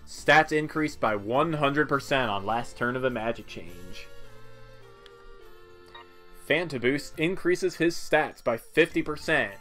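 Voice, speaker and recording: A man's voice: mid-range voice, a bit bright. A man giving casual, offhand video game commentary with wry asides. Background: game music.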